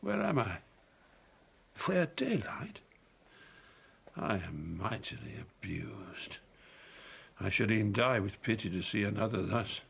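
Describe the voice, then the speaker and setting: An actor playing a frail, confused old king in his eighties in a classical audio drama, gravelly and halting. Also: fairly low pitch, sing-song, fairly bright tone, full character voice. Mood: bewildered. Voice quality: gravelly, creaky. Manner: halting, bewildered.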